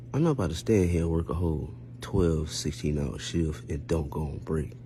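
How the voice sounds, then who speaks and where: Low-voiced man telling an animated story. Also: creaky, slight background noise. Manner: aggrieved, emphatic, rhetorical questions, acts out exchanges. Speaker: storyteller recounting own experience. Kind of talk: casual first-person storytime.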